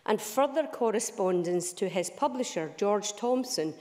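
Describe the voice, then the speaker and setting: A woman's voice: medium pitch, expressive, a little slow, a little mellow. A Scottish woman giving a formal parliamentary speech, full of Scots words. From Scotland. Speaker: member of parliament. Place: large debating chamber. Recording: long reverb of a debating chamber.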